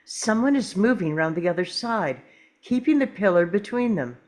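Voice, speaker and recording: A woman's voice: mid-range voice, lively intonation, moderate pace, darkish tone. A woman reading stage directions as dramatic narration at a script table read.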